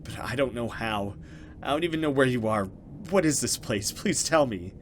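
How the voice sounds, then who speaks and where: A man's voice: mid-range voice, lively intonation, moderate pace, slight background noise, natural voice. A man voicing a frightened young woman in a horror visual novel, urgent, anxious and pleading. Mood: anxious questioning.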